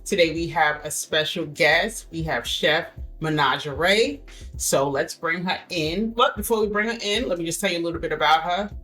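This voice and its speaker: A woman's voice: low-pitched, normal speed, bright, forceful tone, faint music behind, rough recording. A middle-aged woman hosting a podcast, chatting casually with a guest, laughing often and restarting her sentences. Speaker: podcast host. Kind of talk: casual interview chat.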